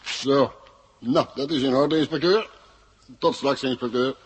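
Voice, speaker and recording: An actor playing a businesslike custody officer in a 1970s radio drama, with a husky voice and a routine, officious manner. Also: male voice, mid-range voice, a little slow, slight background noise, lightly acted. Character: businesslike male custody officer doing paperwork.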